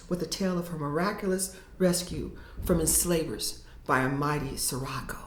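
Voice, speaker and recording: A woman poet reading her verse slowly and dramatically, sinking to whispers at times, then talking casually about her writing. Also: deep voice. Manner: slow, dramatic reading with hushed whispered lines. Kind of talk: poetry reading and casual interview. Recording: echoey room.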